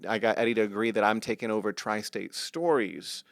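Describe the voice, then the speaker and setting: A man's voice: on the low side, fairly even intonation, a little fast, gravelly, no room sound. A man from the US West Coast, a comedian guest chatting on a podcast in a studio, rambling and restarting his sentences. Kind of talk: casual podcast chat.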